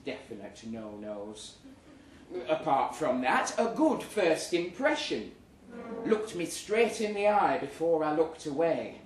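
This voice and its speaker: British guy reading a funny story live, slow and animated, roomy distant mic. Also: fairly high pitch. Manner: expressive, theatrical storytelling. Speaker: reader at a live literary event. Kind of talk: dramatic comic story reading to an audience. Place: pub event room, live before an audience. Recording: roomy live pub recording, distant, faint noise. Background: faint room ambience, audience.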